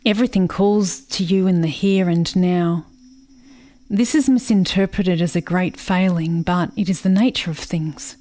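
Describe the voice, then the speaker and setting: A haunted woman played by an actress tells her story in a slightly low voice. She is solemn and measured, letting pauses linger in a reflective radio-drama monologue full of old grief. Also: warm tone, natural voice. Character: haunted, grieving woman recalling a bitter rural childhood. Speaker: actor in a radio play.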